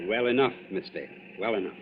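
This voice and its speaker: An actor playing a smug, fanatical young Nazi saboteur in a 1940s radio thriller, with precise, formal and pompous speech. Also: male voice, fairly low pitch, quick pace, natural voice. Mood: smug, menacing. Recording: muffled, very noisy 1940s radio recording.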